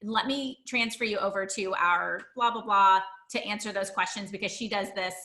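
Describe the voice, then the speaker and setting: A woman's voice: medium pitch, normal speed, sharp tone. A woman hosting a business interview, talking informally and thinking aloud, often checking that she is understood. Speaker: host, practice consultant. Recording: roomy, echoing voice pickup.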